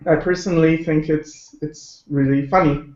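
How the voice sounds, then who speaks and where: Guy hosting a casual stream, kinda high voice, halting and jokey, echoey dull mic. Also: steady tone, a little mellow. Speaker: stream host. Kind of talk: casual live stream chat. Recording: roomy, slightly dull stream audio.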